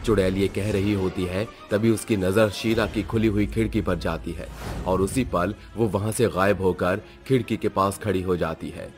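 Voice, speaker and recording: Male horror-story narrator: low voice, scripted storytelling, faint music bed. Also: steady tone, moderate pace.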